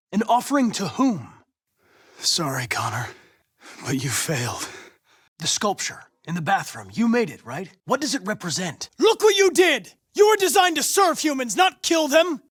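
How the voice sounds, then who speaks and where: A young American-accented android detective from a video game. His delivery is clipped and formal, relentlessly focused on the mission, yet his pitch moves widely. The recording is very dry and close. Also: big pitch swings, fairly bright tone, husky, dry recording, exaggerated voice. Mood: composed, clinical, then urgent, shouting. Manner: precise, clipped, formal, measured, insistent when pressed. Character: young male android police investigator, polite, composed, relentlessly mission-driven. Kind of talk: video game voice acting, isolated dramatic lines.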